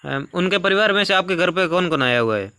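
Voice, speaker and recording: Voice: medium pitch, animated, a little fast, pushed and bright, dry recording, studio quality. A person asking questions hesitantly in a phone conversation. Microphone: phone call.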